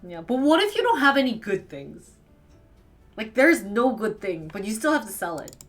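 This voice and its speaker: A woman with a Wisconsin accent from the United States, chatting casually with viewers as a live streamer and firing quick questions back at them. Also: a little high, sing-song, faint music behind. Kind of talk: casual chat answering viewers.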